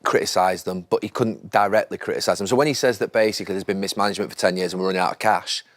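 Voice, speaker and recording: A man's voice: deep voice, steady tone, very fast, no room sound. A man working as a broadcaster and pundit discussing football on a panel, emphatic and prone to repeating his points.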